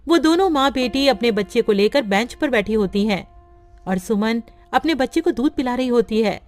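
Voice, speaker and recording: A woman's voice: on the high side, expressive, normal speed, darkish tone. Woman narrating a children's cartoon story.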